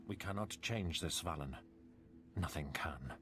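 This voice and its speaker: An actor playing a resigned, protective noble father in a video game, gentle and solemn. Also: sits low, lively intonation, a little slow, full character voice. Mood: resigned, tender.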